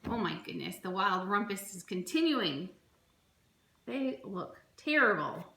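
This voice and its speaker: Slow, bright woman reading a storybook. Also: mid-range voice, vocal fry. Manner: expressive, deliberate, with exclaimed asides. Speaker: storybook reader for children. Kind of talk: dramatic children's picture-book reading. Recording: roomy echo, faint background noise.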